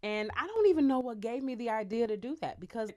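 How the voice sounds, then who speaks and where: Young American woman vlogger, animated, chatty, talks straight to viewers, a touch high-pitched. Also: fast talker. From United States. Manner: lively, chatty, lots of slang and asides to viewers. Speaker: vlogger, singer. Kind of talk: casual storytelling to camera.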